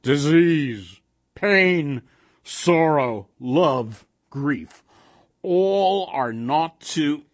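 A man voicing a menacing, humorless golem in a storybook reading, with harsh, monotonous, slow and loud portentous declarations. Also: fairly high pitch, no room sound, pitched above the performer's own voice. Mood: grandiose. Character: menacing gray-faced male golem, grandiose and humorless.

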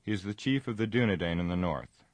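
A wise, ancient half-elven lord, as a man reads him. He speaks in a stately, formal way. The voice is very deep and sounds close and dry. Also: lively intonation, fast talker, dry recording.

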